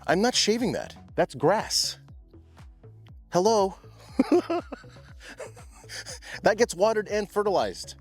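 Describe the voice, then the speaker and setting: A man's voice: high voice, big pitch swings, takes it slowly, long pauses, darkish tone, slightly rough, soft background music. Laid-back, slangy man vlogging outdoors. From Pacific Northwest. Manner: laid-back, chatty, slangy, says bro and dude a lot. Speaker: lawn care vlogger. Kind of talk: casual vlog talk to camera. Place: outdoors in a yard.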